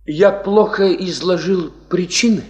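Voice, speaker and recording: A man's voice: high voice, a little slow, darkish tone. An actor in a Soviet radio drama playing a sober, practical geologist, a man who answers his superior in a clipped, restrained, formal way. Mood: formal, pressing. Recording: slightly muffled radio-play sound.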